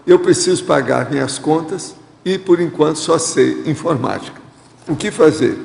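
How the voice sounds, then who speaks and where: Gravelly Brazilian man in an echoey hall. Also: medium pitch, a little mellow. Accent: Brazilian Portuguese. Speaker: host reading viewer questions. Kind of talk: studio Q&A, answering questions.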